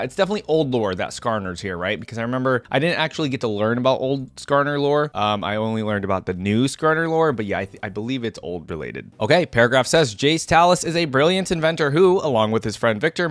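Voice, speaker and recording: A man's voice: mid-range voice, dry recording. A man hosting a reaction video, mixing casual, chatty commentary to viewers with reading aloud and playful asides.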